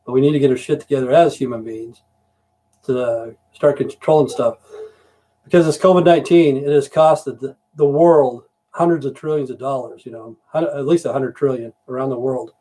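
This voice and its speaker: A man's voice: mid-range voice, fairly even intonation, moderate pace, warm tone. A middle-aged man from Idaho, rambling and swearing through a casual livestream with frequent "you know" fillers. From Idaho, USA. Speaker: livestream host.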